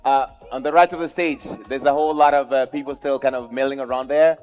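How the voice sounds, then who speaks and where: A man moderating a live forum session. He directs the crowd in a brisk, upbeat way. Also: medium pitch, steady tone, darkish tone, soft background music. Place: large conference hall. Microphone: handheld microphone.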